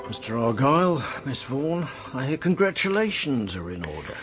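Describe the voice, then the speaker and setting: An actor playing a sardonic, probing police superintendent in a radio drama. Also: male voice, medium pitch, big pitch swings, normal speed, over loud music, close to an everyday voice.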